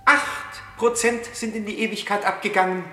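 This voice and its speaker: An actor playing an officious insurance minister in a satirical radio play, reciting statistics in a formal, declamatory way. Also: male voice, sits high, fairly even intonation, normal speed, echoey room, in a large hall, heavy background noise, natural voice.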